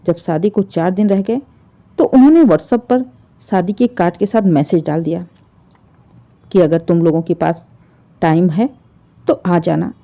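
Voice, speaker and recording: A woman's voice: on the low side, a little slow, pauses often, mellow, muffled recording. A woman narrating an emotional family story in a storyteller's style.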